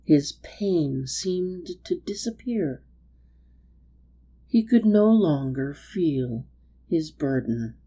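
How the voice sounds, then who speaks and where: A woman's voice: deep voice, animated, extremely slow, warm tone, slightly rough, highs cut off. A woman storyteller giving a dramatic reading of a story.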